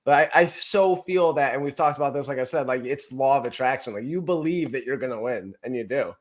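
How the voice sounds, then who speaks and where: A young man co-hosting a casual podcast, upbeat and quick to agree and joke. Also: mid-range voice. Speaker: podcast co-host. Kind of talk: casual chat.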